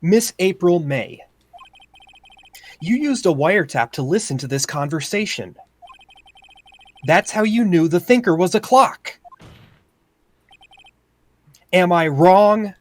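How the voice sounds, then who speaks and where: A man's voice: high voice, expressive, a little slow, pauses often. A man voicing an earnest young male defense attorney in a game voice-over, emphatic and sardonic. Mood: pressing. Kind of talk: improvised video game voice-over.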